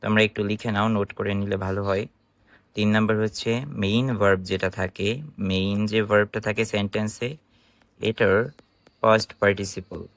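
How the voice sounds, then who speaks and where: Low-voiced Bangladeshi man teaching. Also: level tone, normal speed, no echo. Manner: explanatory, instructive, asking and answering own questions. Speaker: English grammar teacher. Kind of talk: informal online grammar lesson.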